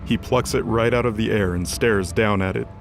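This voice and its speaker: A man's voice: on the low side, normal speed, background noise. A man narrating a scripted fantasy drama as a storyteller. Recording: music under the voice.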